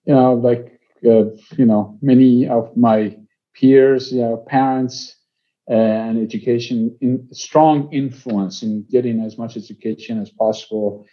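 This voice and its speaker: A man in his sixties from Iran with an Iranian accent, speaking conversationally as an interview guest, with halting phrases and frequent pauses. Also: mid-range voice, steady tone. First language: Persian. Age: 60s. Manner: halting, pausing often mid-phrase. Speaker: interview guest, company executive. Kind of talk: conversational life-story interview. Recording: slightly dull, lo-fi audio.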